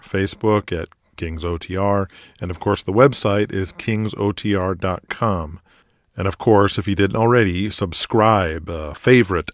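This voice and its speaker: A man with a lowish voice works as podcast host and narrator. He delivers scripted announcements and storytelling, recorded close with very little room sound. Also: dry recording.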